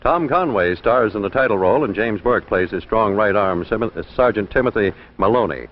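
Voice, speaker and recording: A man's voice: on the low side, expressive, normal speed. A man announcing programme promotions from a script in 1950s broadcast style. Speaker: television announcer. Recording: dull old 1950s broadcast.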